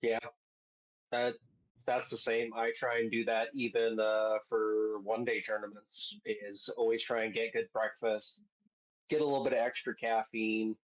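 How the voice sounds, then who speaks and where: Lowish-voiced man chatting informally. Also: flat delivery, measured pace, poor audio. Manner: informal, sweary. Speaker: podcast panelist. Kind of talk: casual chat.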